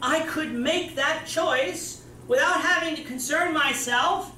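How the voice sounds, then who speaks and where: A man's voice: very high voice, slow pace, bright, forceful tone, a little hiss or hum. A young man preaching a sermon in a church meeting room, explaining and gesturing broadly as he speaks. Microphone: far camera. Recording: roomy hall, distant mic.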